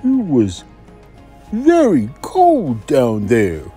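A man voicing a timid, lonely storybook frog, scratchy and halting, earnest in his pleading. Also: medium pitch, wildly swooping pitch, dark tone, slightly rough, soft background music, voice pushed up, full character voice. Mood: plaintive.

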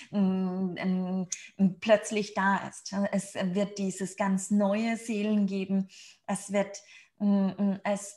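Middle-aged female spiritual channeler, unhurried and solemn, halting delivery full of hums and repetitions. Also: medium pitch, darkish tone. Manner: halting, with frequent hums and word repetitions between phrases. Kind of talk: improvised spiritual channeling message to a group. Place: indoors, small room on a sofa.

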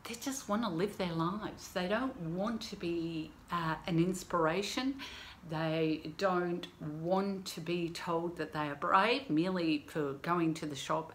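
A woman, hosting a casual book review from her living room, chatty and animated with many asides. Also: lowish voice, slight background noise. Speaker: book reviewer and channel host. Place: home living room, indoors. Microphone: camera on desk, framed head and shoulders.

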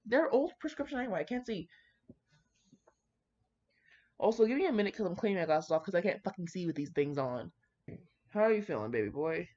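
A woman's voice: on the high side, a bit bright. A woman reading aloud casually with chatty asides, irreverent, slangy and sweary in her manner. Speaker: host reading fan fiction aloud. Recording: dull, lo-fi recording.

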